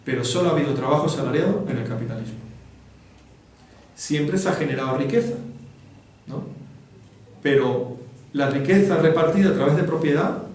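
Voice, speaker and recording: A man's voice: on the high side, normal speed, pauses often, a little mellow. Lecturing man in an echoey hall. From Venezuela. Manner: didactic, often checks with "¿no?" and "¿me explico?". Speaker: book presenter, foundation director. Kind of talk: informal public talk on Marxist theory. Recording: very echoey room, distant mic, faint noise.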